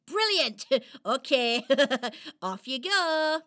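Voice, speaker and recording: A woman's voice: sits high, very animated, extremely slow, sharp tone, full character voice. A woman voicing a playful, boastful female squirrel in a children's radio play, with a giggly, exuberant, theatrical manner. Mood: excited.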